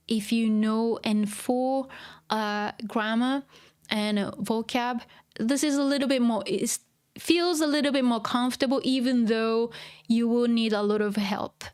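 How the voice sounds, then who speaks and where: A woman's voice: fairly high pitch, a little mellow, smooth. A Japanese woman teaching her native language in English on a casual livestream, chatty and encouraging, with many fillers. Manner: chatty, full of "you know" and "um", encouraging. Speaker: Japanese teacher streaming a manga reading.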